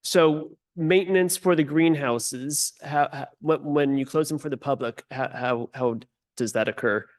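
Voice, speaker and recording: A man's voice: a little high, a little slow. Hesitant male moderator reading questions. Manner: hesitant, repeating words. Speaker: event moderator.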